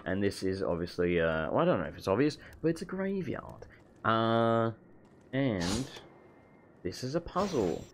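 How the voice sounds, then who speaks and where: A man with a hoarse voice, losing it from being sick, rambling casually as he shows off his game mod. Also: medium pitch, slight background noise. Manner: rambling, self-correcting, casual swearing. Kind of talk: casual gameplay walkthrough. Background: faint game music.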